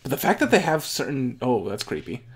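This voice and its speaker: A man's voice: mid-range voice, sing-song, brisk, slightly rough. A young man hosting a casual anime reaction, thinking aloud as he watches, with restarts and asides, recorded on a headset in a bedroom. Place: bedroom at home, indoors.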